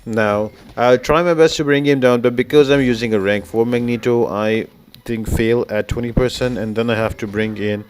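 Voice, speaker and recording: A man's voice: medium pitch, fairly even intonation, moderate pace, a little mellow, clean tone. A man giving informal, explanatory walkthrough commentary as the host of a video game guide.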